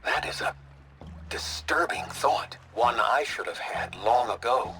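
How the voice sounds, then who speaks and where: An actor playing a pompous, aloof know-it-all advisor in a comedic animated game, speaking formally and condescendingly. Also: male voice, mid-range voice, a little slow. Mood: grave.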